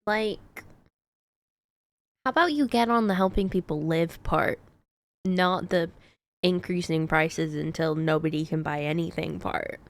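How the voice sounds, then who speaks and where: A woman's voice: on the low side, measured pace, pauses often. A woman livestreamer chatting casually while she plays a game, with playful exclamations and asides. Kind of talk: casual gaming stream chat.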